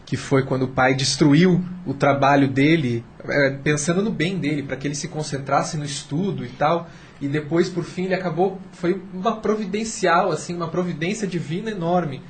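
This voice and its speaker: A Brazilian man talks in a moderately high voice, adding reflections to an unscripted discussion. The recording carries a little background noise and ambience. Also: moderate pace.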